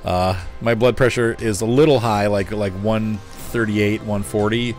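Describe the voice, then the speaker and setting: A middle-aged man chatting casually on a game live stream, easygoing and quick to laugh, reading out viewer messages as he plays. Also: lowish voice, fairly even intonation, darkish tone, faint music behind. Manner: chatty, easygoing, laughs often, reads chat aloud.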